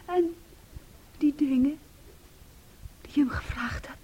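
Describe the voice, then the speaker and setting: An actress in a Dutch radio play playing a bitter, possessive, jealous sister with an intense, accusing manner. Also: female voice, high voice, slow, warm tone. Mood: hushed, wounded. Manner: intense, accusing, shifting between whispers and outbursts. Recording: noisy old radio play recording.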